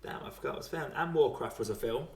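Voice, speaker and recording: A man's voice: mid-range voice, very fast, gravelly, reverberant. A man in his twenties chatting casually as a podcast host, informal and often chuckling as he talks. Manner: chuckles often while talking, informal swearing. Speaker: podcast host and film reviewer.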